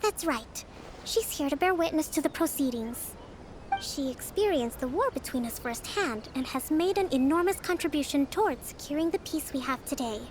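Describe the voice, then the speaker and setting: A woman voicing a calm young female leader in a video game, measured and diplomatic in negotiation. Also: high-pitched, noisy background.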